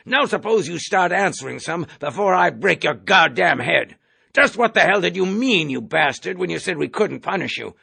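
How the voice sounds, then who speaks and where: A roaring gravelly army colonel. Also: male voice, high-pitched, big pitch swings, moderate pace, dry recording, pitched above the performer's own voice, exaggerated voice. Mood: bellowing. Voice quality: gravelly raspy roar. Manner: hectoring, shouting, browbeating. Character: bellowing bullying male army colonel, bloated. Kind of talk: audiobook character voice.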